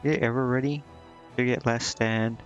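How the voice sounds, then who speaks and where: A man's voice: mid-range voice, steady tone, moderate pace, dull audio. A man giving casual gameplay commentary, indecisive and muttering to himself as he streams. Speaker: game streamer. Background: game music.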